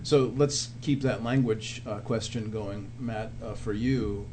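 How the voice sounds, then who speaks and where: Low-voiced male radio interviewer. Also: fairly even intonation, measured pace, a little mellow. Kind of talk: conversational interviewing. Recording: background noise and hum.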